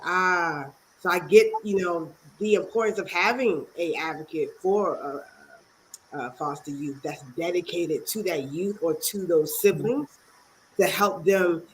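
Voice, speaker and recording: A congested woman from Maryland, speaking as a guest author and youth advocate in an interview, earnest and halting with many pauses. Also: lowish voice, a little hiss or hum, low-quality recording. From Maryland, USA. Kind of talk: interview talk.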